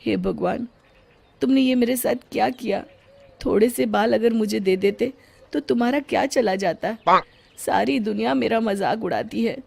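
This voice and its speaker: A woman's voice: a little high, normal speed, gravelly, warm tone, slightly rough, pitched above the performer's own voice, exaggerated voice. A woman playing a touchy, emotional young man in a cartoon story, with an aggrieved manner. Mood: plaintive. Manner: indignant, plaintive.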